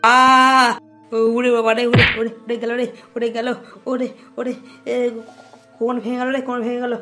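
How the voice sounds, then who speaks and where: A man's voice: extremely high pitch, monotone, normal speed, over loud music. A man voicing a hapless, timid young male prisoner in a comic cartoon, pleading and whiny. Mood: pained whining.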